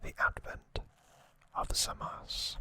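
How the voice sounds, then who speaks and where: A man hosting an ASMR video, giving a casual recap in a breathy, unvoiced whisper with a halting, intimate delivery. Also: bright, forceful tone, dry recording.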